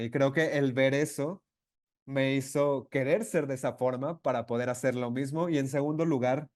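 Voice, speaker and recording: A male interpreter renders a webinar conversation live, in a middle-pitched voice. He delivers it in broken phrases, often restarting words and correcting himself as he keeps up. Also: darkish tone. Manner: halting phrases with false starts and self-corrections.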